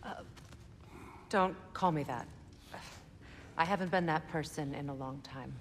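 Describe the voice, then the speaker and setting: Casting: a resolute goddess in mourning, low and grave, with a long reverb tail. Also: female voice, unhurried, slight background noise. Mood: warm, wistful. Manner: quiet, firm, grave. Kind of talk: video game cutscene acting.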